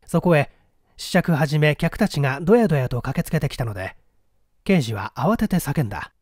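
A man's voice: fairly high pitch, expressive, brisk, a little mellow, no echo, clean studio sound. A man narrating a mystery story in a dramatic, scripted reading style.

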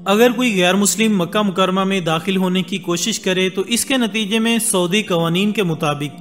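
A man with a high voice reads a scripted documentary narration in a measured, formal way. Soft music plays under him throughout. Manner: measured, formal Urdu delivery with Arabic religious phrases. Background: soft background music.

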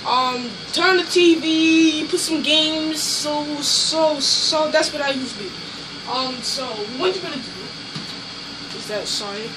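A man's voice: very high voice, measured pace, heavy background noise. A young man casually rambling through a home vlog, full of fillers and restarts, recorded on a phone in a small dim bedroom. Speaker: YouTuber. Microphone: phone camera moved around room.

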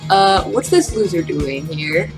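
A protective, hostile brother voiced by a woman from a visual novel. He is curt and scornful toward others. Music plays clearly behind the voice. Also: fairly low pitch, measured pace, rough recording.

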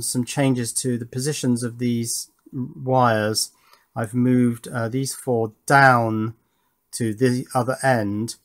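A man's voice: mid-range voice, no echo, clean studio sound. A middle-aged man giving casual, matter-of-fact hands-on narration as an electronics hobbyist, musing aloud as he goes. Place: indoor home workbench.

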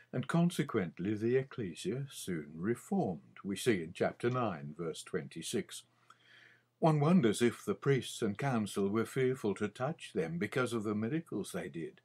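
An older man reading his own scripted Bible commentary in a measured, deliberate manner with frequent pauses. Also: fairly low pitch.

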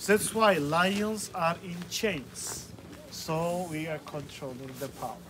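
A man from Georgia with a Georgian accent, working as a tour guide and explaining simply and haltingly outdoors, often checking with his listeners. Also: on the high side, animated. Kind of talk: informal guided tour talk. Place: outdoors beside an old stone church and fortress. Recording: noisy outdoor phone video.

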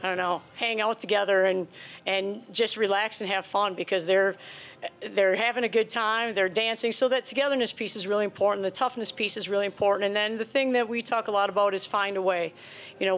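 A woman's voice: medium pitch, a bit bright. Earnest woman coach at a press table. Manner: earnest, conversational, repeats words as she restarts. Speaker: head basketball coach. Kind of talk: postgame press conference answers. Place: press conference room before a sponsor backdrop. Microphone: table microphone.

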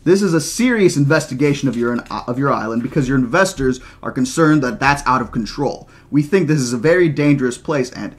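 A man voicing a high-strung, confrontational male lawyer in an audiobook reading, loud and demanding. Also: lively intonation, brisk, hardly any pauses, lightly acted. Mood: angry insistence.

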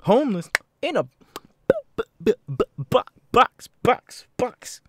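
A man voicing a weary, homeless young ex-convict with tics, halting and stammering in an ASMR audio roleplay. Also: extremely high pitch, wildly swooping pitch, fairly bright tone, slight background noise, heavily acted. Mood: agitated tics. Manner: halting, stammering, with abrupt repeated-word tics. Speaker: voice actor. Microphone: close microphone, dry.

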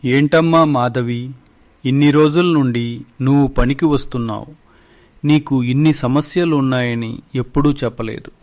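A man's voice: medium pitch, a little mellow, lightly acted. A man playing a kind, paternal, wealthy village employer in a moral cartoon. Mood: concerned. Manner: benevolent, paternal. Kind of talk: Telugu moral cartoon dialogue.

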